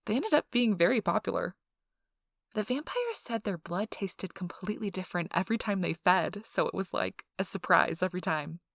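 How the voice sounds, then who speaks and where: A woman playing a friendly, chatty intake interviewer in a soft ASMR role-play, explaining things politely and informally. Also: medium pitch, expressive, moderate pace, vocal fry, dry recording, clean studio sound. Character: friendly, chatty female intake interviewer at a vampire blood donation center.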